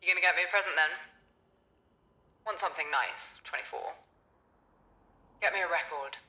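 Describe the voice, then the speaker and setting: Casting: cocky posh youth, very bright high voice, blunt, natural radio drama, echoey room. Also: male voice, normal speed. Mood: cheeky. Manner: blunt, offhand, sweary. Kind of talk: naturalistic radio play dialogue. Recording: echoey, dull lo-fi sound.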